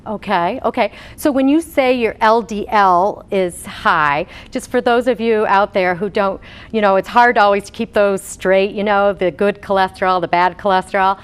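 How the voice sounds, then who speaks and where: A woman's voice: medium pitch, normal speed, clean tone. A woman dietitian hosting an interview, asking questions in a friendly way with many fillers. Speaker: dietitian and host.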